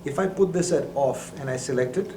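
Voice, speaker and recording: A man's voice: mid-range voice, a little fast. A man giving a methodical, explanatory product demonstration, as a presenter. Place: indoor lab. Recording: roomy echo, faint background noise.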